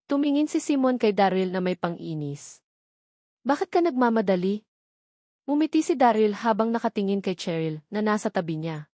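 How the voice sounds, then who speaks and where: A woman with a Filipino accent narrates a novel as an audiobook reader. Her voice sits at a middle pitch for a woman. The sound is dry, with very little room to it. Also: darkish tone, no echo.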